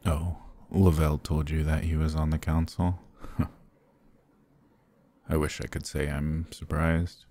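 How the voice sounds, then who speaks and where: Man acting a very deep, husky vampire lord. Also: level tone, full character voice. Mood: grave. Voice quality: husky, low. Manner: formal, measured, soft-spoken. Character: courtly, gentle, possessive male vampire lord. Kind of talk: ASMR fantasy roleplay.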